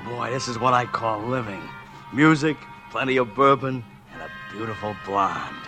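A man's voice: mid-range voice, lightly acted. An actor playing a brash, boozy, flirtatious small-time wise guy with cocky, slangy delivery in a 1940s radio drama, on a vintage recording. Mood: tipsy, amorous.

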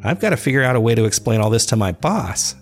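A man's voice: mid-range voice, big pitch swings, quick pace, faint music behind. A man playing a blunt, incredulous police detective who swears, in an audio drama. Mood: incredulous, rattled. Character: alarmed, gruff, swearing male police detective.

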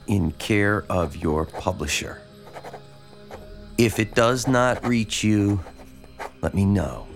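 A lonely, abandoned small-town man in an audio drama, obsessed with horror fiction. His low voice is gravelly and very slow. He speaks earnestly and haltingly, with an awkward familiarity. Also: exaggerated voice. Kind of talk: dramatized letter read as monologue.

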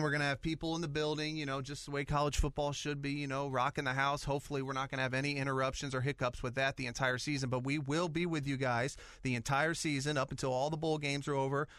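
A man's voice: fairly high pitch, a bit bright, no room sound, studio quality. A man hosting a sports podcast, talking in an easygoing, conversational way and steering the questions. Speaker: podcast host.

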